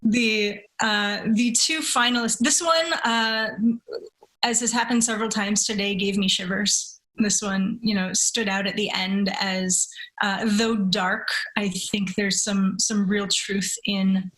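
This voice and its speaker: Woman speaking with deliberate phrasing. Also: medium pitch, steady tone, fairly bright tone, low-quality recording. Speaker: story reader. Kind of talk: dramatic literary reading, then casual discussion.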